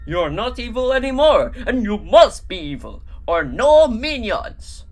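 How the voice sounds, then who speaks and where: An eager, scheming cartoon twin brother, acted out by a woman. He speaks loudly in an exaggerated mock accent, somewhat raised in pitch and swinging emphatically. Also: very animated, measured pace.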